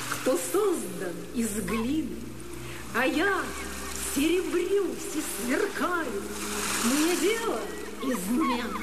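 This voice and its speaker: High-voiced, passionate woman poet, echoing. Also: full character voice. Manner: dramatic, lyrical verse declamation. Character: passionate, proud, tormented woman poet in love. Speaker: actress in a radio play. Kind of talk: theatrical poetic radio play acting.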